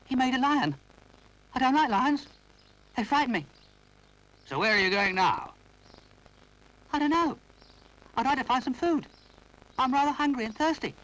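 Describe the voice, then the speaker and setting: A man's voice: sing-song, normal speed, long pauses, a bit bright, exaggerated voice, poor audio. A man voicing a timid, nervous little wooden toy boy who keeps apologizing. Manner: squeaky, apologetic, wide-eyed.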